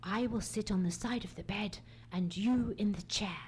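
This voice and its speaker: A woman's voice: medium pitch, normal speed, natural voice. A woman playing a keen, commanding male detective in a live old-time radio mystery, speaking precisely and theatrically. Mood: tense, secretive. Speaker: radio play actor. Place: theatre stage. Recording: noisy live theatre stage recording.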